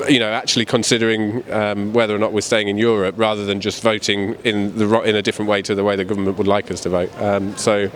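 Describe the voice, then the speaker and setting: A man with a Northern English accent giving his opinion in a street interview, haltingly, repeating phrases. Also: on the low side, fairly bright tone. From northern England. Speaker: interviewee, web designer.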